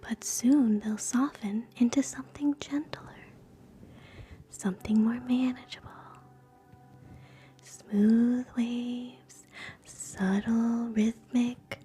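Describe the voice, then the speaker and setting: A woman hosting live ASMR in a soft, breathy whisper, gentle and soothing, moving between guided relaxation and quiet chat. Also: a little high, fairly even intonation, slow pace, faint music behind. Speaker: ASMR streamer, host.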